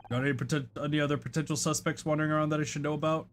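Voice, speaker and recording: A performer voicing a gruff, impatient older male police detective in a video game, curt and commanding. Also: mid-range voice, fairly even intonation, very fast, slight background noise, lightly acted. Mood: weary, gruff.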